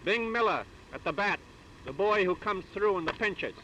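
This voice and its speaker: Male American coach, high voice, halting informal instruction, dull vintage newsreel recording. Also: animated, sharp tone. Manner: halting, plain-spoken. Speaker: baseball club figure, coach. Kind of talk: informal pep talk and demonstration for camera. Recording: dull, noisy 1930s newsreel sound.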